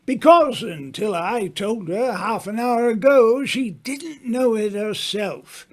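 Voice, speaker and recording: A man playing a worldly, self-assured older writer in an old-time radio mystery, speaking grandly with teasing, dramatic pauses. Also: extremely high pitch, exaggerated voice. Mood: knowing, persuasive.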